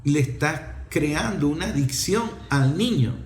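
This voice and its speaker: A man's voice: a little high, animated, measured pace, a little mellow, reverberant, slight background noise. A middle-aged man with a Venezuelan accent giving an impassioned opinion talk in an emphatic, scolding way, full of rhetorical questions.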